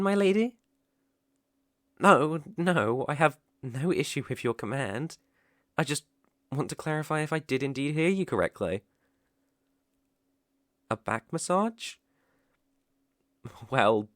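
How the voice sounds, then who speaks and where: A man plays a devoted British butler in an ASMR roleplay. He speaks in a mid-pitched male voice with formal, deferential phrasing and a softly attentive manner. The sound is close and dry, with no room around it. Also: dry recording, lightly acted. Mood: hesitant, humble. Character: polite, devoted young male butler, gently teasing.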